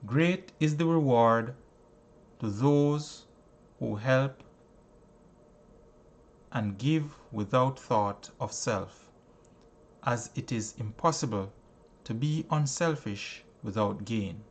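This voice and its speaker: A man reads a self-help book aloud as a scripted narration. His pace is very slow and his pitch sits in the middle range for a male voice. The delivery is solemn and instructive, with each phrase set out deliberately. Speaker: audiobook narrator.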